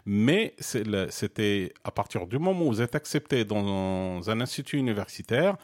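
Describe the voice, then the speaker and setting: A middle-aged man from Morocco speaking in a fairly low voice. He explains things steadily in conversation, often restarting his sentences and pausing with hesitations before making his point. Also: expressive, a little mellow. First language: Arabic and French bilingual. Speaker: interviewee. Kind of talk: conversational interview.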